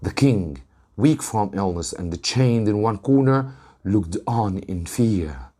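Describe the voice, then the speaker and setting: A man from Egypt, an English teacher, reading a story aloud with deliberate, dramatic emphasis. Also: fairly low pitch, expressive, mellow.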